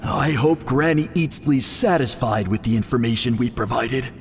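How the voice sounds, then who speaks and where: A man's voice: mid-range voice, moderate pace, slight background noise, close to an everyday voice. A man playing an earnest, modest shaman disciple who speaks respectfully and explains things in a video game. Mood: helpful, modest. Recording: music behind the voice.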